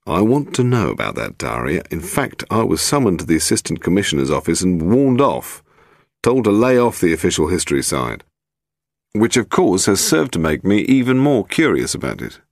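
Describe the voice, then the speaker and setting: Man playing brusque British police inspector, very deep, clipped and blunt, crime audiobook, dry sound. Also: big pitch swings, moderate pace, creaky, no echo, full character voice.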